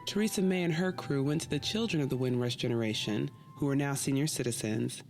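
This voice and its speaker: A woman's voice: a bass voice, a little fast, darkish tone, faint music behind. A woman hosting a podcast, narrating her story in a wry, conversational way with playful asides.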